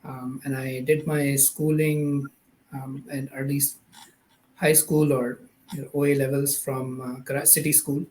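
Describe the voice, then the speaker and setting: A man's voice: medium pitch, little pitch movement, measured pace, darkish tone, a little hiss or hum, poor audio. Hesitant Pakistani man telling his story. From Karachi, Pakistan. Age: 30s. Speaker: podcast guest, product manager. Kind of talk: casual interview storytelling.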